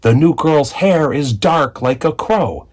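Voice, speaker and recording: A man voicing a taunting young schoolboy who leads the pack, calling out in loud jeers in a storybook reading. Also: on the high side, animated, slow pace, voice pushed up. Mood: jeering. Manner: loud, jeering shouts.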